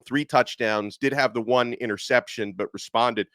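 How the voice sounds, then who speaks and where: A man hosting a casual sports podcast, animated and excitable, his voice a little hoarse from yelling. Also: medium pitch, normal speed, dry recording. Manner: animated, excitable, with frequent fillers. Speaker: podcast host.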